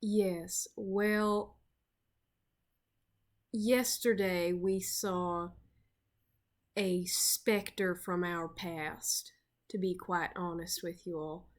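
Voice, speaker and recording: A player voicing a centuries-old undead man who hosts old friends and speaks slowly with hesitant pauses. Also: high-pitched, fairly even intonation. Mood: somber. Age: centuries-old, looks adult. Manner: slow, halting, hesitant with fillers. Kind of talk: in-character roleplay speech.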